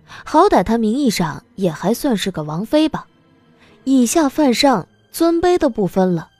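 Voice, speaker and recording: A woman giving expressive scripted narration as an audiobook narrator. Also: fairly high pitch, a little slow, no echo, soft background music.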